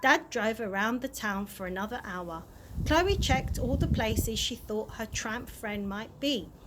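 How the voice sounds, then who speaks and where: A woman narrating a children's chapter book aloud in an expressive storytime style. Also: a little high, unhurried. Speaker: early years practitioner reading a story. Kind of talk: animated children's audiobook narration. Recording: clear background noise.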